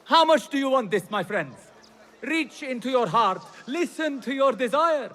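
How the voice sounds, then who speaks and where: High-pitched, showy auctioneer. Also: male voice, expressive, moderate pace, fairly bright tone. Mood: showy, coaxing. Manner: showy, coaxing, declamatory. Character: showy, flattering male auctioneer at a bazaar. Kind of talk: theatrical video game acting.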